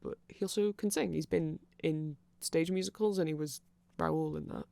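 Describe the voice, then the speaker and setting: Creaky-voiced ASMR rambler, sex unclear. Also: mid-range voice, moderate pace, mellow, no room sound. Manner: nerdy, self-deprecating, digressive. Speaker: ASMR creator explaining band lore. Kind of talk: casual rambling ASMR explainer.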